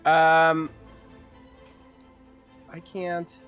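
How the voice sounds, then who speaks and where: A man's voice: a little high, monotone, very slow, fairly bright tone, soft background music. A man playing a tabletop game, chatting casually in a hesitant, questioning way on a slightly lo-fi recording.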